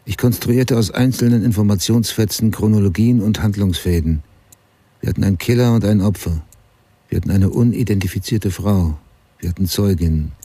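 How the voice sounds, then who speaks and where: An actor playing a brooding middle-aged writer, narrating his past in a sober, measured, confessional way for a radio drama. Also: fairly low pitch, dark tone, husky, no echo. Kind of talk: literary first-person radio drama narration.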